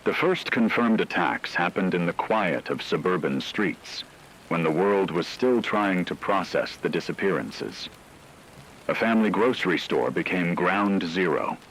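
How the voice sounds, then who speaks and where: Male narrator, deep register, grave and measured horror radio chronicle, light rainy static underneath. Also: expressive, fairly bright tone, poor audio.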